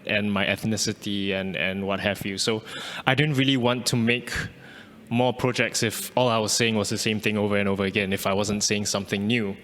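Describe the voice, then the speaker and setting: A man's voice: medium pitch, fairly bright tone. A young man, an artist whose first language is Malay, talking thoughtfully about his work with frequent restarts and fillers. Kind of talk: reflective interview answer. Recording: reverberant hall.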